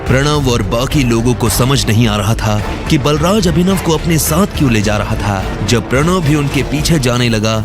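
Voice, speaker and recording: A man's voice: medium pitch, expressive, moderate pace, hardly any pauses, over music. A man with a Haryanvi accent reading scripted narration for an audio drama.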